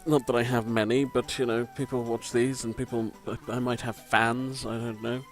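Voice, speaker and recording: A man with a croaky voice, giving rambling, self-deprecating gameplay commentary from a home mic and swearing casually. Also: medium pitch, level tone, moderate pace, faint music behind. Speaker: let's play commentator. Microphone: home desk microphone.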